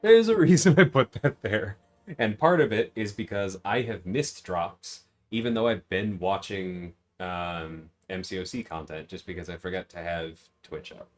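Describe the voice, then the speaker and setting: A man with a very low-pitched voice talks over a game as a livestream host, with music playing behind him. He rambles casually, thinking out loud and addressing viewers as he goes. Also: moderate pace. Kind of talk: casual gaming livestream commentary.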